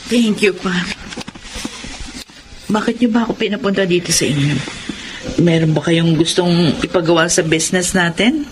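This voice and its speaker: An actress playing a trusting, anxious wife in a radio drama, speaking earnestly and hesitantly. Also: female voice, lowish voice, moderate pace, pauses often, natural voice. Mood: polite, curious. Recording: clear background noise.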